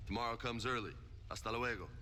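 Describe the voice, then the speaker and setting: A man's voice: mid-range voice, quick pace, pushed and bright, natural voice. An actor playing a brash male marine who is a rowdy sports fan, boisterous and mixing in Spanish words. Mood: smug. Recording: roomy reverb with ambient noise.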